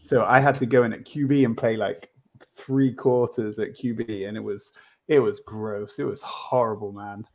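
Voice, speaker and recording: A man's voice: fairly low pitch, fairly even intonation, normal speed, a little mellow. A man telling a casual, self-deprecating anecdote as a podcast guest. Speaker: guest, American football player.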